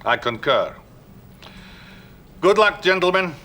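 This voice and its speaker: An actor playing a courteous, formal Soviet premier with a Russian accent in a video game cutscene. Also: male voice, sits high, very animated, slow pace, sharp tone, a little hiss or hum, full character voice. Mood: gracious, formal. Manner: formal, gracious.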